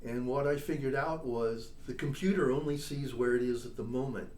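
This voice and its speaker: A man's voice: medium pitch, normal speed, darkish tone. Older man telling stories in an echoey room. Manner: chatty storyteller, tags points with "all right?" and "you know?". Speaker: interview guest, trombonist and composer. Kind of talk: casual storytelling interview. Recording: echoey room, distant mic, faint noise.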